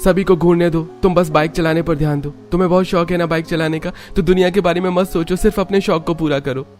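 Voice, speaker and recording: An earnest, supportive young husband from a cartoon, voiced by a man. His pitch is high for a male, with music playing beneath. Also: steady tone, quick pace, a little mellow, close to an everyday voice. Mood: encouraging.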